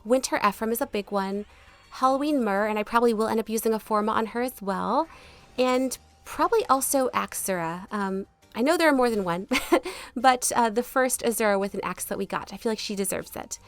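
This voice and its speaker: A woman's voice: fairly high pitch, brisk. A woman chatting casually as she answers viewer questions in a gaming video, polite and easygoing, her voice not fully back after being sick. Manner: chatty, laughs easily, polite. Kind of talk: casual chat over gameplay. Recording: music behind the voice. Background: background music.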